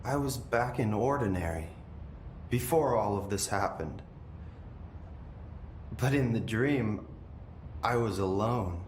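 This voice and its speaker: An actor playing an eerie, calm young man held captive, speaking slowly and unsettlingly. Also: on the low side, reverberant, slight background noise. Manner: slow, drawn-out, unsettling.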